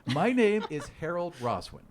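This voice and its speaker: A man voicing a small, eager and friendly female halfling herald who is bubbly and excitable in a tabletop game. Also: fairly high pitch, normal speed, slight background noise, pitched above the performer's own voice. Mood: eager, cheerful. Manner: bubbly, excitable, repeats words.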